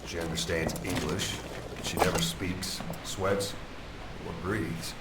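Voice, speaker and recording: An actor playing a sombre military officer in a video game cutscene, speaking in measured, clipped phrases. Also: male voice, low voice, fairly even intonation, echoey room, recorded from a distance, very noisy. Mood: grave, guarded. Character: sombre male military intelligence officer explaining a strange prisoner.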